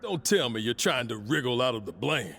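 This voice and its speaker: An actor playing a wry, skeptical companion fighter in a video game, dry and unimpressed. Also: male voice, mid-range voice, very animated, a little fast, fairly bright tone, slight background noise. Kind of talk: video game cutscene acting.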